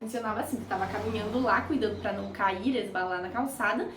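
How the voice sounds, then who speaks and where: A woman's voice: medium pitch, fast, distant mic, noisy background. A young woman from Brazil, chatting casually in a travel vlog with jokey asides. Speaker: vlogger. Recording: roomy echo, off-mic.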